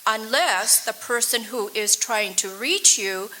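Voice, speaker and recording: An actress playing a polite, unflappable telephone operator in a 1940s-style radio play, speaking with formal, impersonal courtesy. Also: female voice, on the high side, takes it slowly, sharp tone, low-quality recording. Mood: polite, unmoved. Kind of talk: live stage radio drama acting, 1940s thriller.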